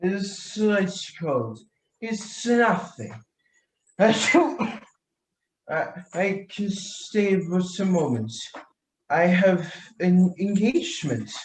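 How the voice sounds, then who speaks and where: A young man playing a vain, tipsy wine connoisseur with a head cold, halting and pompous in a theatrical radio-play style. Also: sits high, sing-song, roomy sound, slight background noise, exaggerated voice, rough recording. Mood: tipsy, jovial. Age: young adult. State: sneezing and coughing with a feigned cold. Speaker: student actor.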